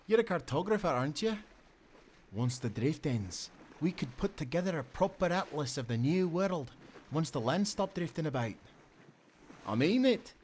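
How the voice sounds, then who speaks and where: An actor playing a loyal, good-natured sailor in a nautical audio adventure, speaking earnestly with friendly seafaring phrasing. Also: male voice, fairly high pitch, a little hiss or hum, natural voice. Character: young-hearted, loyal, good-natured sailor and former timberman. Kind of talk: cinematic nautical audio roleplay acting.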